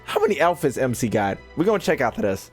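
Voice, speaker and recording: A man's voice: mid-range voice, sing-song, fast, husky. Slangy, playful young game streamer, male. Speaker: gaming streamer reacting to a game. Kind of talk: casual live-stream commentary. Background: game audio and music.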